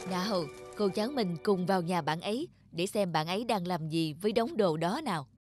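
A woman voicing a gentle middle-aged nanny. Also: on the low side, a little fast, over music, natural voice. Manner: gentle, patient, motherly. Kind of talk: cartoon dubbing.

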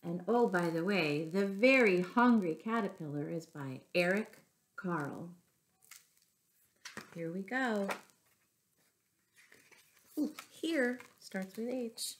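A middle-aged woman reading a picture book aloud to young children, with deliberate, expressive phrasing and little asides to her listeners. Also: medium pitch. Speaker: children's storyteller, teacher. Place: small furnished room at home.

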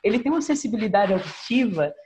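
A woman's voice: mid-range voice, quick pace, a little hiss or hum. A woman in her thirties, a nun from Espírito Santo with a mixed Minas Gerais and Bahia accent, chatting animatedly on a video call and adding "né?" to her phrases. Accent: mixed Brazilian, Minas Gerais and Bahia. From Espírito Santo, Brazil. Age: 30s. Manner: animated, laughs often, adds "né?" to phrases. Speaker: nun and singer, podcast guest. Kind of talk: casual conversation. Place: plain room, video call.

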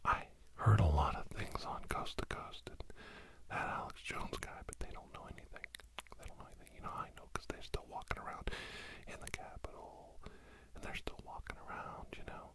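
A middle-aged man in a whispered ASMR podcast monologue, breathy and secretive, rambling haltingly and repeating words, close to the mic. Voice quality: breathy whisper breaking into low murmur. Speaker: podcast host.

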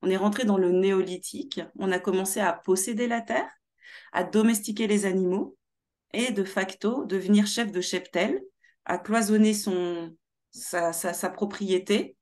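A young woman from Paris with Martinican roots, speaking as a guest in an animated interview over a video call. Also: mid-range voice, normal speed. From Martinique heritage, born in Paris. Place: small room at home.

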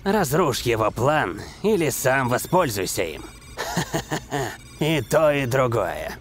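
A man's voice: medium pitch, big pitch swings, takes it slowly. A man voicing a smug young football villain in a cartoon, scheming, sneering and impatient. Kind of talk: cartoon voice acting.

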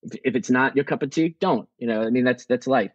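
A man's voice: medium pitch, brisk, no room sound. A man from New York, a guest speaker telling his recovery story in a conversational, rambling way, full of restarts. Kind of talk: personal recovery story share. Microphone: video call. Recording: dull, dry video call audio.

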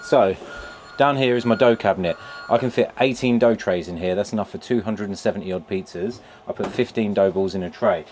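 Low-voiced british guy in his 30s, rambly casual vlog chat from inside his pizza van, lapel mic, bit of faint hum behind. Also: darkish tone. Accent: British English. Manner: chatty, rambling, lots of ums and restarts. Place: inside a metal food van, indoors. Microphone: lapel mic, camera on a shelf.

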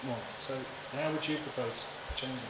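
A man's voice: medium pitch, fairly even intonation, normal speed. Probing male moderator in echoey room. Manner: probing, questioning. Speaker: facilitator. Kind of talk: moderating a panel. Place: university lecture room. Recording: echoey room with air conditioning hum.

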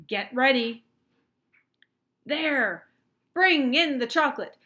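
Woman acting out eccentric male chocolate inventor, high bright voice, excitable, commanding, showman, storybook character. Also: moderate pace. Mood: excited, boastful. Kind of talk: children's storybook reading.

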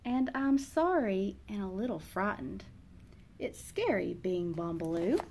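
A woman's voice: medium pitch, big pitch swings, measured pace, a little mellow, a little hiss or hum. A woman in her thirties reading a children's picture book aloud, expressively acting out the feelings of the young narrator. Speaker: read-aloud host, storyteller. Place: small indoor classroom or reading room.

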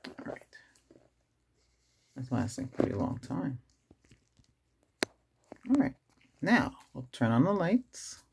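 Creaky middle-aged man murmuring ASMR, noisy recording. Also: on the high side, sing-song, slow, long pauses. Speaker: ASMR performer playing an ER doctor examining a patient. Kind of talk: soft-spoken ASMR roleplay. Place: small indoor setup with cloth backdrop.

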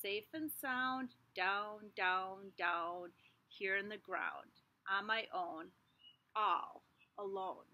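A middle-aged woman teacher reading a picture book aloud to young children outdoors, expressive, with small asides to the class. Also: mid-range voice, very slow. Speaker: kindergarten teacher. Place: outdoors in a backyard under trees. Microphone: device camera at arm's length.

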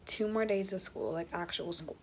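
Teenage girl, casual vlog chat, slightly raspy voice, phone held close, small bedroom, faint background hiss. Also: fairly low pitch, normal speed. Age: late teens. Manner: chatty, rambling, addresses viewers as guys. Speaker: vlogger. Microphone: phone held close at arm's length.

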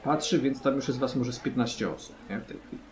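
A man narrating a tabletop role-playing game as game master, haltingly, often checking in with his players. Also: medium pitch, fairly even intonation, crowd noise. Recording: music under the voice. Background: background music.

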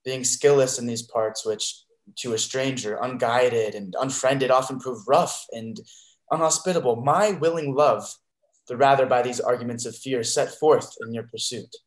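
Young guy as loyal wary sailor in shakespeare, earnest, halting, echoey video call. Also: medium pitch, lightly acted. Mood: devoted. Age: young adult. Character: devoted, wary male sea fighter in a stage comedy.

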